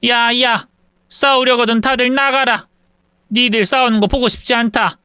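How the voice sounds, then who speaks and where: A man doing a gentle older mother. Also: very high voice, fairly even intonation, moderate pace, clean tone, no echo, pitched above the performer's own voice. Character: older woman, gentle doting mother-in-law.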